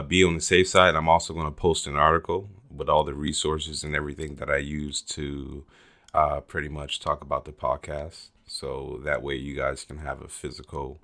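A man hosting a casual solo podcast, a little sick and slightly raspy, talking conversationally with frequent "you know" asides. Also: rumbling low, sharp tone. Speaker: podcast host.

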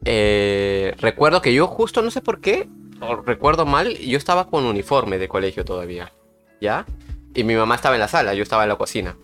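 A man's voice: on the low side, big pitch swings, a little fast, fairly bright tone, soft background music. A young man telling a personal story in a casual podcast chat, hesitant and full of fillers. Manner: hesitant, rambling with many fillers. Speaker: podcast co-host.

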